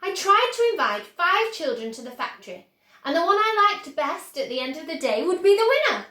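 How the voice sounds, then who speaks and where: Lady doing excitable old factory owner guy from a kids book, earnest, high voice, echoey room. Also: fairly quick, full character voice. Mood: excited. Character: eccentric, exuberant old man, chocolate factory owner.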